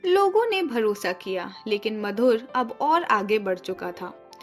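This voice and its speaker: Woman, high voice, children's story narration, slow pacing, music underneath. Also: sing-song. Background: background music.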